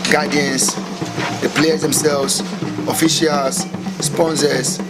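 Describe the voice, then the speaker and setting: Man, official, earnest formal address, slightly high voice, loud music under him. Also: flat delivery. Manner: earnest, measured, speech-like delivery. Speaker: tennis foundation official.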